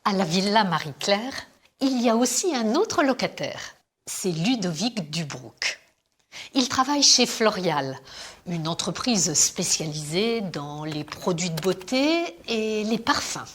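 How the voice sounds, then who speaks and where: An actress playing a friendly landlady in a scripted textbook dialogue, lively and hospitable. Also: mid-range voice, takes it slowly. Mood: explaining. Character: Madame Dumas, a friendly landlady. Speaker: landlady character.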